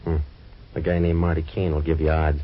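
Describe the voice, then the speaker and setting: An actor playing a wisecracking, hard-boiled male detective in a 1940s radio drama, narrating and trading quips in a deadpan way. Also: rumbling low, fairly quick, muffled recording.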